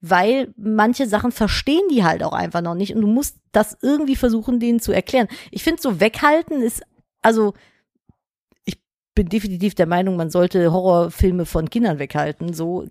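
A woman's voice: mid-range voice, normal speed, darkish tone, no room sound, studio quality. A woman co-hosting a podcast with her husband, speaking bluntly and with strong opinions, swearing casually. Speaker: podcast co-host. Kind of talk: casual chat.

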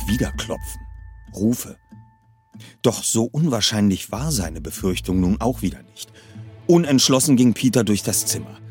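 A man's voice: on the low side, a little mellow, husky. A man reading a novel aloud expressively, shifting his voice for the characters. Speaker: reader of a novel.

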